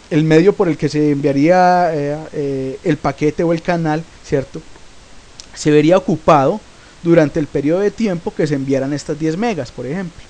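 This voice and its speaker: Male tutorial host with a sore throat, slightly high but dark tone, hesitant, answers his own questions. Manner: asks himself questions, then answers them; frequent hesitations with "eh". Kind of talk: recorded tech lesson.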